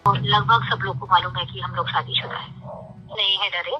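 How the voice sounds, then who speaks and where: A woman's voice: medium pitch, steady tone, normal speed, slightly rough, soft background music. A woman on a recorded phone call, talking privately and informally in an insistent, aggrieved way. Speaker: caller on a recorded phone conversation.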